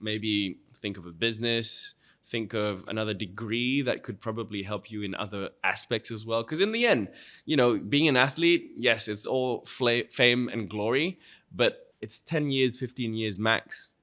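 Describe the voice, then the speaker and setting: Bright-voiced young man from Thailand. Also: fairly low pitch, measured pace. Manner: relaxed, frequent "you know" and "like". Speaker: interview guest. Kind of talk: casual interview answers.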